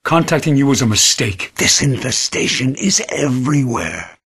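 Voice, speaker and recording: A raspy corrupted ship AI. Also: male voice, mid-range voice, big pitch swings, moderate pace, a bit bright, heavily acted. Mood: pleading. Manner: swings between frightened pleading and a menacing hive voice. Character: corrupted male ship AI, torn and pleading. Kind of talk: video game voice acting.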